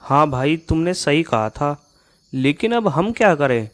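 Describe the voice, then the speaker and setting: A man's voice: a little high, big pitch swings, a little slow. A man playing a trusting, mild-mannered male neighbour in a Hindi moral-story cartoon. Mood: worried. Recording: music behind the voice.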